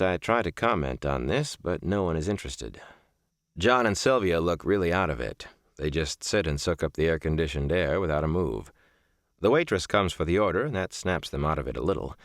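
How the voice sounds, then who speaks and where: A man narrates a reflective audiobook in a very low voice. His phrasing is deliberate and measured, with lively rises and falls in pitch. The recording is close and dry. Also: no room sound. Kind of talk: scripted audiobook narration of a reflective first-person memoir novel.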